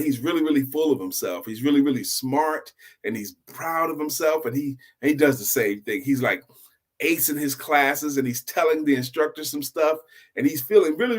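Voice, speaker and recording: Slightly high-voiced man telling a story casually. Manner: animated, conversational, repeats phrases for emphasis. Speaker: storyteller and show host. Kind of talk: casual, improvised storytelling for adults.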